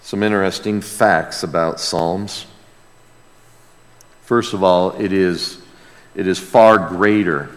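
Slow-paced male preacher in a reverberant hall. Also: on the low side, a bit bright. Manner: folksy, asks rhetorical check-in questions. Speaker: pastor preaching. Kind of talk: sermon, conversational. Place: large reverberant room, preaching to a congregation.

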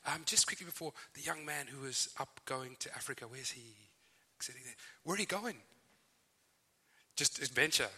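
Bright-voiced Zimbabwean man preaching. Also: mid-range voice, normal speed, slightly rough. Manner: friendly, chatty, with fillers and asides. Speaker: visiting preacher. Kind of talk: sermon. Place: church hall. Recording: church hall reverb.

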